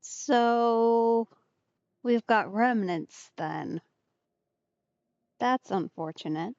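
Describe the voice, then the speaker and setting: A woman's voice: on the high side, extremely slow, a little mellow, dry recording, muffled recording. A woman streamer giving casual commentary as she plays a game. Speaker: let's-play streamer. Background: faint game music.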